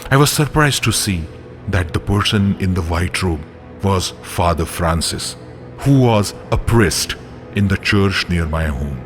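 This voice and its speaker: A man narrating a scripted children's story in a suspenseful, expressive first-person manner. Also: low voice, a little slow. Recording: music bed behind the voice.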